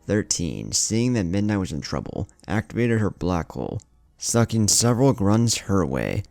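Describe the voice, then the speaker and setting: A man's voice: on the low side, very animated, moderate pace, creaky, a little mellow, dry recording. A man reading a scripted fan fiction story as its narrator.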